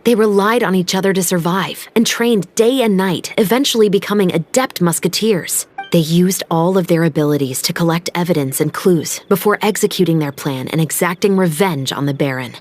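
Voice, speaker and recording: A woman playing a composed, principled young musketeer officer in a video game, speaking in a measured, formal, earnest way. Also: on the low side, a little mellow, lightly acted. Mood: solemn storytelling. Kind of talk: dramatic game voice acting. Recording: music behind the voice.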